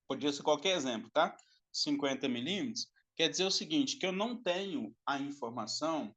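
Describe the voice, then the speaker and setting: A man's voice: mid-range voice, fairly bright tone. A man giving a recorded class lecture, explaining step by step, repeating himself and checking in with the students. His throat is dry and he coughs now and then.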